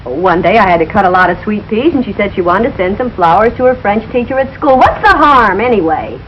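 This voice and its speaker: Lady playing wry fed-up radio housewife, 40s sitcom, super lively pitch, noisy muffled recording. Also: a little fast, close to an everyday voice. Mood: patient. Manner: scolding, wry, matter-of-fact. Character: sensible, exasperated middle-aged wife and mother. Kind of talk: 1940s radio situation comedy acting.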